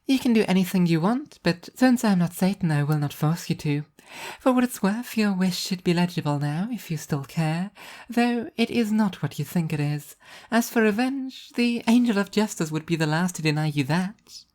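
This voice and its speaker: A woman's voice: on the low side, animated, moderate pace, darkish tone, pitched above the performer's own voice, close to an everyday voice. A woman voicing a dignified, benevolent, self-important female angel of justice, grandly declarative yet conversational, in a story reading. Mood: reassuring.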